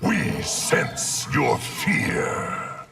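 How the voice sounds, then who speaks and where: An actor playing a solemn, vengeful undead being made of many souls in a fighting game, speaking formally as we. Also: male voice, very slow, over music. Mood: menacing. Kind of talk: fighting game character dialogue.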